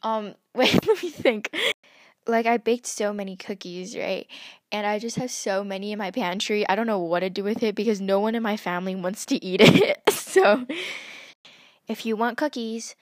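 A woman's voice: medium pitch, normal speed, dry recording, studio quality. A teenage girl rambling about herself in a casual podcast at home, giggling and joking at her own expense. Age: teens. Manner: giggly, self-mocking, chatty. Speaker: podcast host. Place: home bedroom at night.

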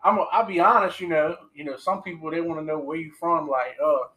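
A man's voice: a little high, brisk, pushed and bright, rough recording. A man in his late twenties from Virginia co-hosting a casual podcast chat, laid-back and full of slang and fillers. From Portsmouth, Virginia. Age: 20s. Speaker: podcast co-host.

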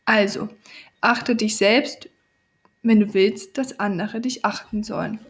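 A woman's voice: mid-range voice, steady tone, slow. A woman with a cold hosting a podcast, giving an earnest motivational monologue straight to the listener. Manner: earnest, coaching, addresses listener directly. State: has a cold. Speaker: podcast host.

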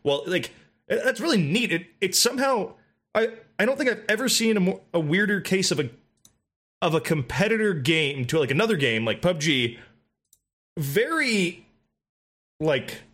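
A man's voice: high-pitched, big pitch swings, moderate pace, long pauses, fairly bright tone. A man chatting casually about video games, rambling with many fillers, restarts and swearing. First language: English. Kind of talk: casual gaming chat.

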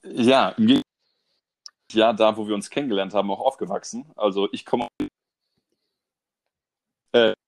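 A man co-hosts a relaxed podcast chat. He talks hesitantly, restarts often, and slips into playful irony. His voice sits slightly low. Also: normal speed, long pauses. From Germany. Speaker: podcast co-host. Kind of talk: casual chat.

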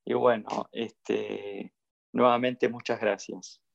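A man's voice: lowish voice, flat delivery, slow pace, vocal fry. A man with an Argentine Spanish accent hosting a podcast interview in a casual, conversational style. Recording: dull lo-fi audio.

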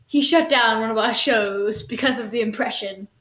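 A woman's voice: a little high, normal speed, a bit bright. A woman co-hosting an improvised comedy podcast, playful and giggly as she spins absurd tall tales. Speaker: podcast co-host.

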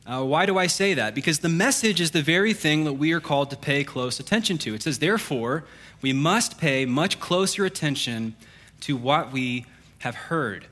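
A man in his thirties, a pastor, reading scripture and then preaching in a relaxed, joking, conversational way with fillers. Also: fairly high pitch, moderate pace. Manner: self-deprecating jokes, conversational with fillers. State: congested.

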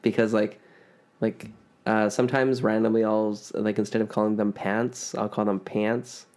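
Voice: mid-range voice, little pitch movement, moderate pace, darkish tone. A podcast host from Ontario with a Canadian accent, chatting casually and telling stories with playful emphasis on words. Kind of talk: casual chat.

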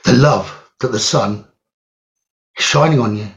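Very slow male meditation guide, roomy echo. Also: medium pitch. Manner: soothing, measured, with long pauses. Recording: roomy echo, dull lo-fi sound.

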